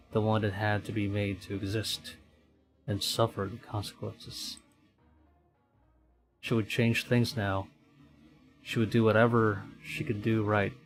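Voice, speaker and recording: A man's voice: fairly low pitch, poor audio. A man streaming a visual novel, switching between casual commentary and reading its text aloud.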